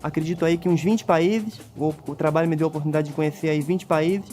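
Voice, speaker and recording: A man's voice: a little high, steady tone, quick pace, darkish tone, faint music behind. A man who worked in the team's kit room, recalling his years in a hesitant way and repeating words as he searches for them. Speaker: former team kit room assistant. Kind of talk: reminiscing documentary interview.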